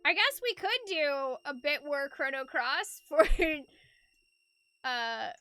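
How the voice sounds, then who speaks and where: A woman's voice: sits high, lively intonation, slow, sharp tone. A woman streaming a game, chatting playfully and reading the story aloud with expression. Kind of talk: live stream chat mixed with expressive story narration.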